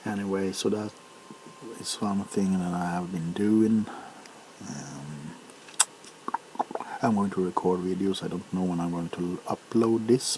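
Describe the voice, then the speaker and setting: Low, slow-talking man in ASMR chat. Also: darkish tone, slight background noise, rough recording. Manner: halting, unhurried, hesitant. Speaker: ASMR video creator.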